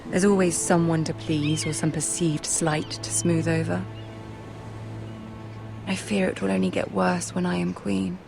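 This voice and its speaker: A woman playing a poised, shrewd young noblewoman in a video game, speaking in a measured, courteous, regal way. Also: on the low side, flat delivery, vocal fry, music in the background. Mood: gracious, weary. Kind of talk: cinematic video game voice acting.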